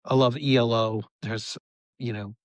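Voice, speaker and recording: Effusive, halting man in a dry-sounding interview. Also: mid-range voice, steady tone, dry recording. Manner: effusive, halting, filler-heavy enthusiasm. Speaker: musician guest, songwriter. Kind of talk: casual podcast interview.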